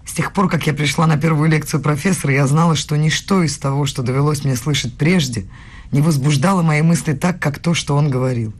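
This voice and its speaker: A woman acting in a radio play as an eighteen-year-old philosophy student, eager, nervous and deferential, with a husky voice. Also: deep voice, brisk. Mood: earnest, admiring. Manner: earnest, flustered, deferential.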